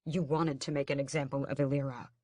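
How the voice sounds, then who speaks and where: Brief: a woman playing a defiant young vampire prince, angry, clipped and forceful, dry close read. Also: very deep voice, level tone, a little fast, dry recording. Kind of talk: audiobook character voice.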